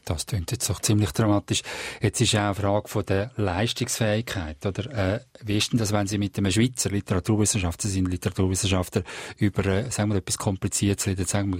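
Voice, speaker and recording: A man's voice: fairly low pitch, a little mellow, breathy edge, dry recording, studio quality. A man interviewing a guest with probing, conversational questions.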